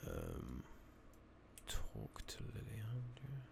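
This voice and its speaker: Low, gravelly soft-spoken British man. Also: huge pitch swings. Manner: casual, unhurried, muttering to himself, mild swearing. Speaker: video game streamer. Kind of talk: soft-spoken ASMR game commentary, partly whispered. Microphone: close microphone. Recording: noisy mic with keyboard clicks.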